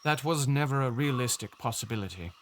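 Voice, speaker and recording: A man's voice: mid-range voice, lively intonation, brisk, fairly bright tone, slight background noise, close to an everyday voice. An actor playing a stiff, ambitious middle-aged man in an audio drama, speaking formally and earnestly. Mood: wistful, doubtful. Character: stiff, ambitious grown man, banker turned would-be island ruler.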